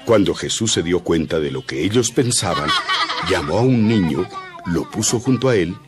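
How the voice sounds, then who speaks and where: A man's voice: sits low, animated, moderate pace. A man narrating a dramatized scripture reading in a scripted style. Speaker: Bible narrator. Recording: loud music under the voice. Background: music.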